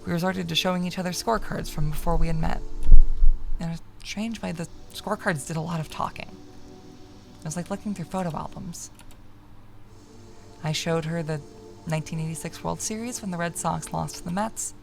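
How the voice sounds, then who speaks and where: A woman streamer reading a visual novel aloud as narration, expressive, sometimes stumbling and correcting herself. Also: fairly low pitch, fairly quick, creaky, long pauses, faint music behind.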